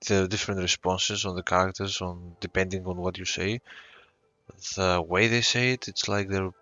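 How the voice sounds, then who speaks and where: Low, bright, dry-miked gamer commentary. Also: fairly even intonation, normal speed, no room sound, muffled recording, faint music behind. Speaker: gamer reacting to a story quest. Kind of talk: casual gameplay commentary.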